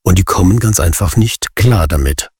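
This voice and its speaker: Husky, low-voiced male interviewer. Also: lively intonation, normal speed, darkish tone, no room sound, clean studio sound. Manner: formal, courteous questioning. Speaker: interviewer and journalist. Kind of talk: radio interview.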